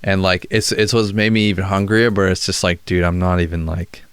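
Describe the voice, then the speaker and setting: A man's voice: sits low, fairly even intonation, fairly quick, smooth, dry recording. A young man hosting a casual podcast chat, rambling with restarts and frequent swearing. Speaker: podcast host and music creator.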